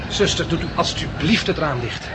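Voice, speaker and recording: A man's voice: on the high side, lively intonation, normal speed, very noisy, natural voice. An actor in a 1960s radio drama playing a dry, sardonic man who narrates and speaks as a patient. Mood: wry, grumpy. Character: bandaged male hospital patient and narrator, wry, sardonic.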